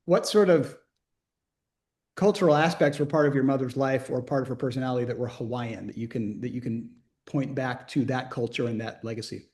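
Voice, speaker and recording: A man's voice: medium pitch, a little fast, darkish tone. A man in his fifties interviewing an old classmate, asking friendly, prompting questions. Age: 50s.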